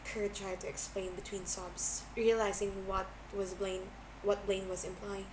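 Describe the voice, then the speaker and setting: Brief: female amateur narrator, mid pitch, slightly halting story reading, noticeable background noise. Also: flat delivery. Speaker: narrator, podfic reader. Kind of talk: amateur fan fiction narration. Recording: noisy amateur home recording.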